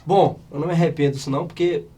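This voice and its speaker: Hoarse, fast-talking Mineiro man. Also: a little high. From Minas Gerais, Brazil. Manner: chatty, animated storyteller, many restarts. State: hoarse, losing his voice. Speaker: startup CEO, podcast guest. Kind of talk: casual interview storytelling.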